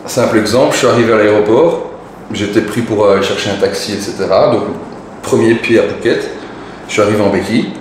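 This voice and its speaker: A man in his forties with a Belgian French accent, a guest talking casually in an interview, relaxed and hesitant with many fillers. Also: on the low side, fairly quick. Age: 40s. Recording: echoey room, noisy, mic at a distance.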